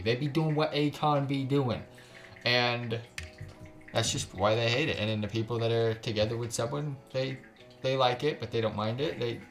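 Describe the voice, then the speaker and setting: Male game streamer, mid-pitched, loose slangy joking delivery, faint music underneath. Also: a bit bright. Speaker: live streamer. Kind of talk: casual livestream chat with stumbling read-aloud of game text.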